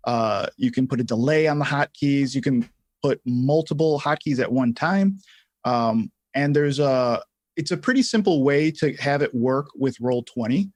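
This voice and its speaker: A man hosting a casual live software tutorial, thinking aloud in a halting way as he explains things step by step. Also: medium pitch, no echo. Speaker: livestream host and software instructor.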